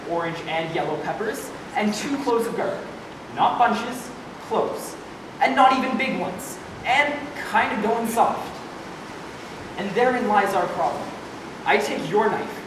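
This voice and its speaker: A woman's voice: medium pitch, natural voice. Woman acting sardonic female complainer, indignant, precise, emphatic, far from mic, heavy echo. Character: bitter, sardonic complainer dictating a despairing complaint letter. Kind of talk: amateur stage play monologue. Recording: echoey hall, distant mic, noisy.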